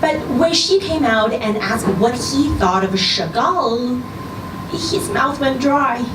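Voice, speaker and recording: A woman giving an expressive narration of a scripted short story at a live reading. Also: on the high side, takes it slowly. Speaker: story reader at a live literary event. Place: indoor social venue, live reading event. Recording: roomy live venue, distant mic, noisy background.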